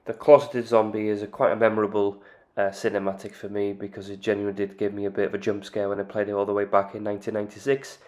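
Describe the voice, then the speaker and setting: A man hosting a video game channel, giving calm game analysis commentary with occasional "uh" fillers. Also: fairly low pitch, fast. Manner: calm explanatory delivery with "uh" fillers.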